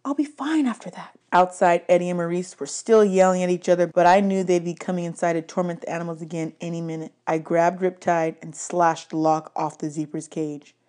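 A woman's voice: fairly low pitch, little pitch movement, fairly quick, few pauses, darkish tone. A woman narrating a novel aloud as an audiobook reader. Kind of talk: read-aloud story narration.

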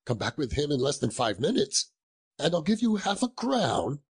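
A man's voice: mid-range voice, very animated, measured pace, a little mellow, husky. A man voicing an elderly reformed miser in a storybook reading, jubilant and effusive, full of exclamations and chuckles. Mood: giddy, delighted.

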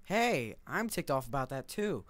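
A man playing an excitable, easily annoyed young guy in an amateur comic drama, exclaiming indignantly. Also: medium pitch, moderate pace, lightly acted.

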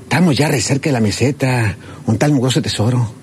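A man's voice: mid-range voice, fairly quick, darkish tone, natural voice. A man playing a suspicious, grumbling treasure-hunting outlaw with a rural Mexican accent in a radio serial. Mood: impatient grumbling. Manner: folksy, colourful, grumbling. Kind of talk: old Spanish-language radio serial acting. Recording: noisy old radio drama recording.

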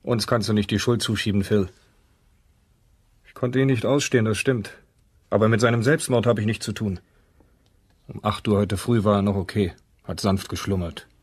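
Low, husky, blunt lighthouse keeper. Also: male voice, steady tone, normal speed, pauses often. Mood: scornful. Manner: blunt, disdainful. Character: blunt, scornful male lighthouse keeper, ex-navy. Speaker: actor in an audio drama. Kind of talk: German crime radio play acting.

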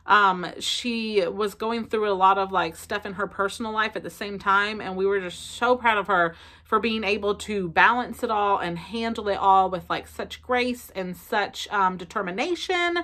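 A bright, clear-toned woman in her thirties, speaking with a Southern American accent. She talks to the camera in a chatty, expressive way, with many ums and likes. She is recorded close up in a bedroom at home, at a middle pitch. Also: normal speed. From South Carolina. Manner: chatty, expressive, lots of fillers. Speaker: family vlogger. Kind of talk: casual chat to camera. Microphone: camera in front at close range.

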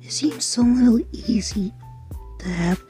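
A woman's voice: a little high, unhurried, warm tone, soft background music, natural voice. A woman voicing a sweet, unsure young boy in an amateur cartoon, speaking hesitantly and politely. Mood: shy.

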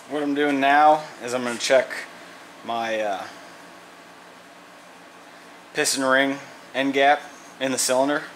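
A man's voice: medium pitch, steady tone, normal speed, fairly bright tone, a little hiss or hum, poor audio. Plainspoken man explaining engine work. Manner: plainspoken, thinks aloud with fillers and restarts. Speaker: mechanic demonstrating an engine rebuild. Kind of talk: casual how-to narration while working. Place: workshop garage.

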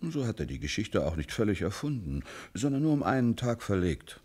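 An actor playing a calm, probing Paris police commissioner in a German radio crime drama, speaking with measured deliberation. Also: male voice, fairly low pitch, breathy edge.

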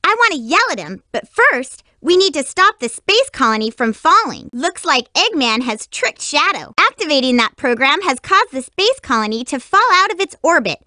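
A woman voicing a cute, energetic young girl hedgehog for a video game, sweet but feisty and lovestruck, with a perky, emphatic girlish delivery. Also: sits high, moderate pace, hardly any pauses, no echo, studio quality, exaggerated voice. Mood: worried.

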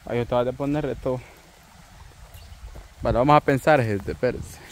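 A man's voice: medium pitch, normal speed, rough recording. A young man chatting outdoors by a river, joking and laughing as he sets playful challenges. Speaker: vlogger. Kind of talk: casual outdoor chat.

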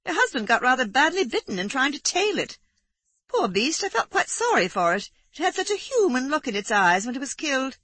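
A woman reading aloud, voicing a hearty, gossipy country neighbour in a brisk, chatty way. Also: high voice, big pitch swings, a bit bright, pitched above the performer's own voice, full character voice. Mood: brisk gossip.

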